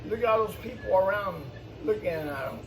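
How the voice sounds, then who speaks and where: A man's voice: high voice, lively intonation, a little fast, vocal fry. A man hosting storytime, reading a children's picture book aloud with exclamations and explanatory asides for young listeners. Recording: distant mic, faint background noise.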